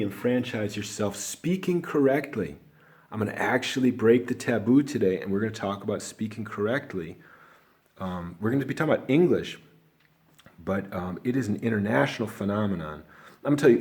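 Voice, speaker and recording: A man's voice: on the low side, a little mellow. A man from Chicago with an American accent, talking loosely in a casual monologue as an online teacher, often asking "you know what I'm saying?". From Chicago, USA.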